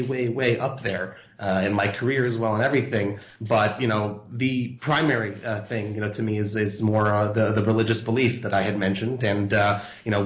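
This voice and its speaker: An American man answering interview questions casually, hesitant and full of fillers and restarts. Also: fairly low pitch. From United States. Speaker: interview guest. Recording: roomy, muffled sound.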